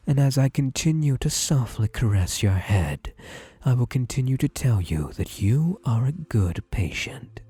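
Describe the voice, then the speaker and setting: A man acting as a softly controlling male therapist for an ASMR roleplay. His low voice swoops and dips through slow, deliberate reassurances, hushed and breathy, with an obsessive, doting tenderness. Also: exaggerated voice. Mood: tender, doting. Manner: soothing, deliberate, hushed, drops into breathy murmurs.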